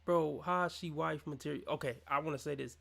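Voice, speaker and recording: Gravelly mocking male streamer. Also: fairly high pitch, brisk, fairly bright tone. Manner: mocking, scornful ranting. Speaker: livestream commentator. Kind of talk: reaction commentary.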